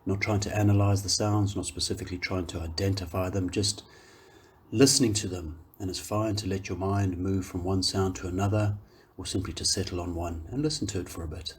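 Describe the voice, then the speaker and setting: A man guiding a meditation, speaking calmly and gently in unhurried phrases with long pauses. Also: low voice, slight background noise. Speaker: meditation guide, yoga teacher. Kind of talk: guided meditation, slow soothing instruction.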